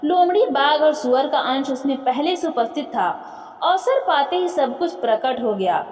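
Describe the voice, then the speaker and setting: A woman's voice: high voice, expressive, normal speed, a little mellow. A woman voicing a sly, boastful male ghost servant in a storybook tale, deferential as he explains. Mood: explaining, proud. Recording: echoey room with long reverb.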